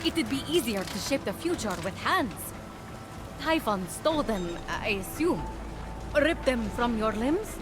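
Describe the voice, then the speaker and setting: Young female warrior for a video game: eager and impatient, very animated, unhurried pace. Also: fairly high pitch, music in the background. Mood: exasperated. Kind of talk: video game cutscene acting.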